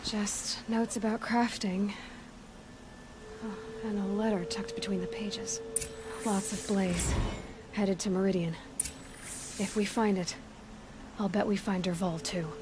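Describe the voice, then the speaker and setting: Young female hunter played by an actress: curious, determined, mid-pitched, clear ambient noise behind. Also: fairly even intonation, slow pace, long pauses, lightly acted. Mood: curious, pensive.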